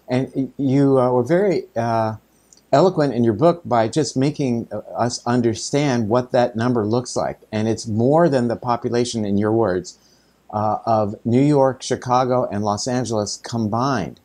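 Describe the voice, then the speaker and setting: A man's voice: medium pitch, moderate pace, dark tone. A man hosting a podcast, asking questions in a conversational way with many fillers. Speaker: podcast host. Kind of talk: interview questions.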